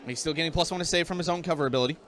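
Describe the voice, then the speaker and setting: A man commentating a tabletop game, speaking in a loud projecting voice. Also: a little high, rapid-fire.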